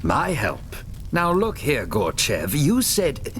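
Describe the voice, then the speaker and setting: A man voicing a principled, cautious mage in a video game drama, speaking in a measured, formal, earnest way. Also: medium pitch, wildly swooping pitch, fairly bright tone, slight background noise, heavily acted. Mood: stern. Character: principled, cautious male spellcasting adventurer.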